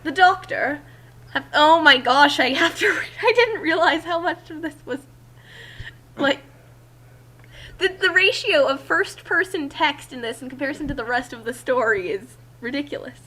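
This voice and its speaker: A woman's voice: sits high, moderate pace, pushed and bright. A woman reading a story aloud in a theatrical way, with casual asides. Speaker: reader and commentator.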